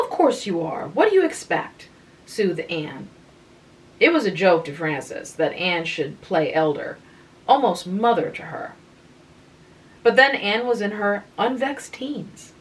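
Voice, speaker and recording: A woman reads a short story aloud for relaxation. Her slightly low voice stays calm and unhurried. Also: low-quality recording. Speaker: reader and channel host.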